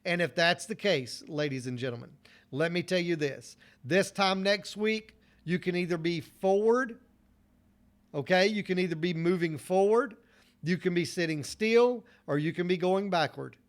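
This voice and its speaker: High-voiced man giving a motivational talk. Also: moderate pace. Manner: repeats phrases for emphasis, preacher-like cadence; earnest and direct, addresses listeners as you. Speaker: motivational speaker.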